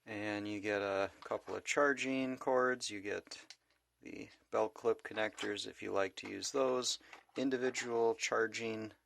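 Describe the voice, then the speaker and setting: A man's voice: fairly low pitch, fairly even intonation, unhurried, gravelly, a bit bright, dry recording. A man giving a casual, laid-back product review in a plain-spoken way. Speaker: gadget reviewer and vlogger.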